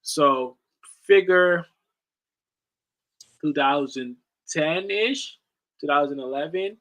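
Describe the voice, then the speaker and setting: A man's voice: on the high side, slow, a bit bright, rough recording. A man in his late twenties from the Netherlands who moved to the US as a child, chatting casually about sports with lots of slang and swearing. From Netherlands, moved to the US at ten. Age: late 20s. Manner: slangy, swearing, says "bro" often. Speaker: sports watch-along streamer.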